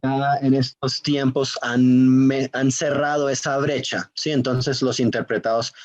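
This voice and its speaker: Chatty lecturer with an unusual accent. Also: male voice, medium pitch, flat delivery, unhurried, a little mellow, highs cut off. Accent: slightly foreign-sounding Spanish. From Colombia. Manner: chatty, self-interrupting, many fillers and tag questions. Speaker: university lecturer. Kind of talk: online class lecture. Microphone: video call.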